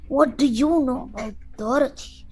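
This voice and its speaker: A woman's voice: high voice, moderate pace, background noise, natural voice. A woman playing a solemn count, head of a spooky household, in an amateur radio play. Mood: shocked.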